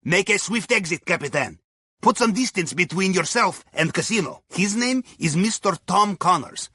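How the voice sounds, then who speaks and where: An actor playing a wry, courteous Russian submarine pilot, advising on missions in a video game with a Russian accent and clipped grammar. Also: male voice, sits high, animated, moderate pace, bright, forceful tone, slightly rough, dry recording, studio quality, full character voice. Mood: focused, advising. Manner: wry, deferential, clipped grammar with dropped articles. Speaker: mission handler on comms. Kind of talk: scripted video game dialogue.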